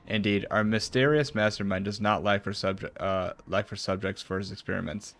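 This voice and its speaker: A man's voice: lowish voice, steady tone, moderate pace, a bit bright, soft background music. A man streaming a video game, reading its formal cutscene dialogue aloud with some stumbles and casual reactions.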